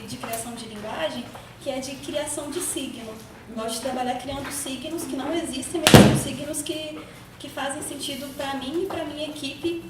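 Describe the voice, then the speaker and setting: Distant high-voiced Brazilian woman rambling. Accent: Brazilian Portuguese, Minas Gerais. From Minas Gerais, Brazil. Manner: rambling, laughing, fillers like "né" and "sabe". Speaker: filmmaker. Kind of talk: panel talk about filmmaking. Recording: echoey room, distant mic, noise.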